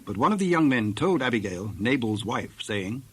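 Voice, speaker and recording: A man reads scripted scripture narration for an audio drama. His voice sits at a middle pitch. A faint bed of ambient noise runs behind him. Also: very animated, moderate pace, low-quality recording.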